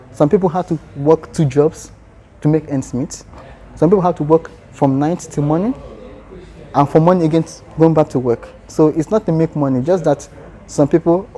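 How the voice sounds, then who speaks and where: A man's voice: medium pitch, warm tone. A man with a Nigerian accent answering interview questions earnestly, in short phrases that restart.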